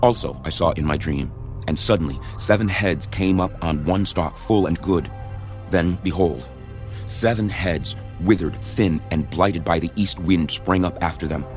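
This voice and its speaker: A man's voice: low-pitched, unhurried. A man playing a commanding Pharaoh of ancient Egypt in a stately, declamatory dramatized audio Bible performance. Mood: troubled, grave. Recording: studio audio drama with music underneath.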